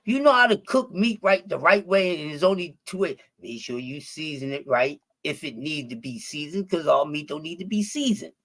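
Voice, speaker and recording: A man's voice: on the high side, lively intonation, normal speed, few pauses, a bit bright. Rambling, cussing Philly man. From Philadelphia. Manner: profane, rambling, with many restarts. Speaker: stream guest. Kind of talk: casual chat.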